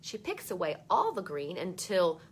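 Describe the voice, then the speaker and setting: A woman reading a picture book aloud for children, expressive, with chatty asides spoken straight to young listeners. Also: on the low side, moderate pace, a little hiss or hum.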